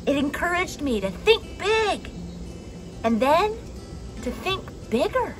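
A young adult woman reading a children's picture book aloud expressively, with dramatic pauses and emphasis. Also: very high-pitched, very animated, over music. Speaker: storybook reader for a children's church message. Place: outdoors on a wooden deck among trees.

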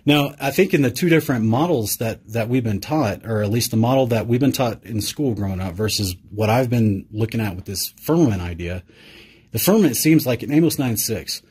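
A man's voice: medium pitch, animated, a little fast, darkish tone, breathy edge. A man interviewing a guest in casual conversation, thinking aloud and searching for words with many fillers.

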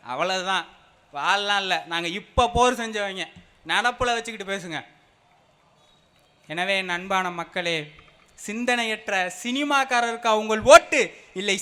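A young man delivers a fiery rally speech in a very high voice. His tone is piercing and sharp. He swings between street slang and formal oratory with strong emphasis. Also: clean tone. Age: young adult. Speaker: party speaker at a public meeting.

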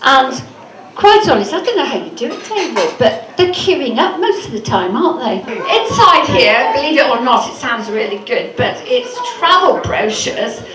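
Woman doing a chatty birthday tribute, high voice, echoey room full of people. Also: big pitch swings, moderate pace, a crowd in the background. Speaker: presenter of a gift. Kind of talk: party tribute speech. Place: club function room.

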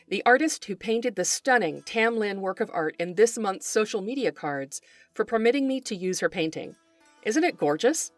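A woman's voice: medium pitch, no echo. A woman hosting a podcast, reading scripted narration in a formal, articulate way. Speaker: podcast host, folklorist.

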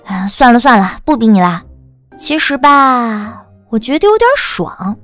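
A woman acts a playful, gossipy young woman in an audiobook drama. Her voice is high and very animated. She chatters and teases in exclamations over faint music. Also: a little slow. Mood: playful, prying. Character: bubbly, teasing, gossipy young female best friend.

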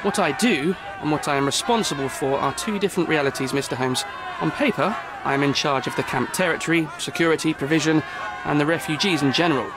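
An actor playing a harried, defensive city hall official in a video game, speaking formally and precisely. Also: male voice, a little high, quick pace, over a noisy crowd, very noisy, natural voice. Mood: resigned, explanatory. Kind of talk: video game cutscene acting.

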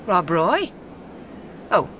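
A clever young upper-class woman in a radio play, cool and mocking. She speaks slowly and archly, her mid-range voice rising and falling freely. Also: fairly low pitch, sing-song, fairly bright tone, a little hiss or hum, full character voice. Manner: arch, self-possessed. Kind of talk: radio drama acting.

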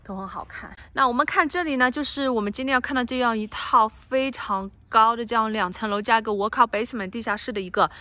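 A woman's voice: on the high side, fast, hardly any pauses. A woman real estate agent walking through a house under construction, chatting casually and mixing English terms into her talk. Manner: drops to a soft, near-whispered voice at times. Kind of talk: casual walkthrough tour. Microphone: handheld phone camera.

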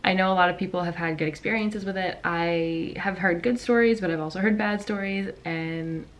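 A young woman vlogging casually from her bedroom, chatty and rambling with lots of ums and likes, her voice raspy from just waking up. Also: fairly low pitch, steady tone, normal speed. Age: young adult. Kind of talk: casual vlog chat. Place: bedroom at home. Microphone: camera in front at desk.

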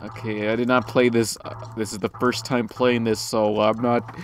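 A man's voice: medium pitch, flat delivery, normal speed, faint music behind. A man giving casual, sarcastic, sweary let's play commentary, joking and reading game text aloud. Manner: sarcastic, profane. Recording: clear background noise.

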